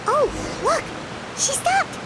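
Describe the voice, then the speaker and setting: A woman voicing a tiny, excitable, easily worried fairy girl in a video game, squeaky and dramatic. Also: normal speed, background noise. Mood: excited, urgent. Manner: squeaky, dramatic, speaks of herself in third person. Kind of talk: scripted game dialogue.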